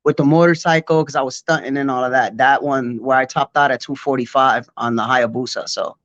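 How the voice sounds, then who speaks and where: Male guest, forties, from Puerto Rico; rambling, filler-heavy storyteller; mid pitch; dry, slightly muffled audio. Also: fairly even intonation, brisk, fairly bright tone, dry recording. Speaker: guest b-boy. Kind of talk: casual interview chat.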